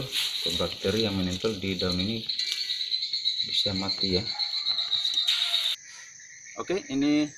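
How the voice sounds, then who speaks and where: A man presenting an informal demonstration vlog, explaining in a halting way. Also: on the low side. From North Buton, Southeast Sulawesi, Indonesia. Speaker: herbal remedy video presenter. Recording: noisy outdoor audio with insects and birds.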